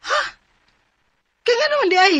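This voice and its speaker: Indignant radio drama wife, very high sharp voice, Cebuano Filipino accent, dry close sound. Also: female voice, lively intonation, normal speed, no echo. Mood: indignant, shocked. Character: feisty, indignant wife refusing to give up land.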